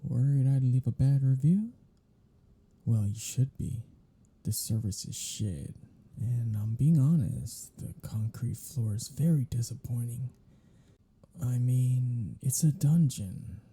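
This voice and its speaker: A man playing a captive vampire in an audio roleplay, snarky, taunting and flirtatious, drawling sarcastic lines in a husky, gravelly voice. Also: medium pitch, takes it slowly, dark tone. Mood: sardonic. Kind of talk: scripted audio roleplay monologue.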